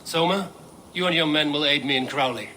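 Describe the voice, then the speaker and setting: An actor playing a resolute, proud Viking war leader in a video game, grave and terse. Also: male voice, fairly high pitch, a little fast, pushed and bright. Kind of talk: dramatic video game acting. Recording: noisy game ambience behind.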